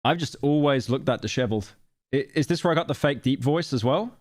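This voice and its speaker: A man in his late twenties streaming a reaction video, talking casually and rambling, with self-deprecating asides and sudden loud outbursts. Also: medium pitch, fairly quick, dry recording. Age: late 20s.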